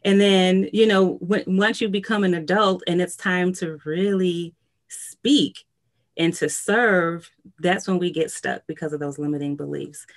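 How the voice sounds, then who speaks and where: A woman's voice: lowish voice, fairly even intonation, unhurried. A woman hosting a podcast, answering her guest in a casual, affirming and encouraging way. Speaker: podcast host. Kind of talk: casual interview conversation.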